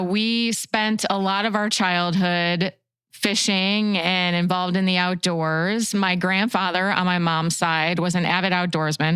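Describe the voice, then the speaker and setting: A woman's voice: on the low side, a bit bright, clear, resonant tone, no echo. An American woman in her sixties from the Chicago suburbs, speaking earnestly and reflectively as an interview guest. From Chicago suburbs, USA. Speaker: podcast guest, fishing guide and outdoor writer.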